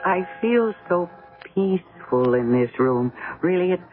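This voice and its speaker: A woman's voice: lowish voice, animated, unhurried, phone-quality sound, loud music behind the voice. An actress playing a wistful, polite young married woman, hesitant in manner, in a 1970s radio drama. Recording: band-limited 1970s radio recording.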